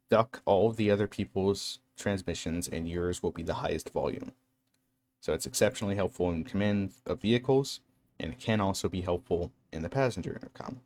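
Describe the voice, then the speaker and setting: A man's voice: sits low, darkish tone. A man narrating an instructional game walkthrough in a matter-of-fact, explanatory way. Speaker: tutorial narrator.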